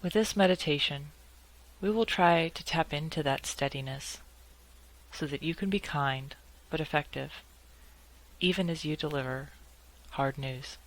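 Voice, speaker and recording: A woman leading a guided meditation in a calm, measured way, leaving long pauses between short phrases. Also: sits low, rough recording.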